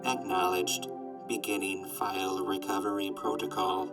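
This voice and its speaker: A man voicing a glitchy robot assistant that makes flat, formal machine announcements. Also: sits low, normal speed, loud music behind the voice, lightly acted. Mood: flat, procedural. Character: glitchy deadpan robot assistant, helpful but unsettling.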